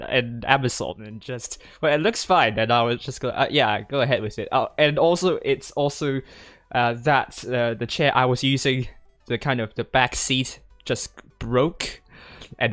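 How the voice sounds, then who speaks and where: A young man from Hong Kong talks casually on a livestream in a medium-pitched voice. He is hesitant and rambling, stumbling back over his words as he goes. The sound is dry, with soft music underneath. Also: no echo. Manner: hesitant, rambling, with stuttered word repeats. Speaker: gaming streamer and tournament commentator. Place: indoors at a desk in a gaming chair.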